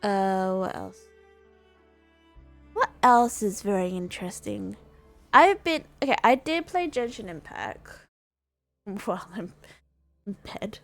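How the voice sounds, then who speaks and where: A woman's voice: medium pitch, expressive, takes it slowly, pauses often, a bit bright, faint music behind. A woman livestreamer chatting casually in a hesitant, rambling way, often repeating and rephrasing herself. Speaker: livestreamer and gamer.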